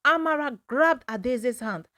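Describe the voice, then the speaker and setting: A woman narrates a folktale at a slow, measured pace. Her voice sits fairly high and the sound is dry and close, with a dramatic storytelling delivery. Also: expressive, sharp tone, no echo.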